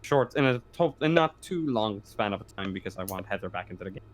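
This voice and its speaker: A man's voice: fairly low pitch, lively intonation, fast talker. A man running a tabletop roleplaying game, chatting casually with his players in a rambling, hesitant, sweary way.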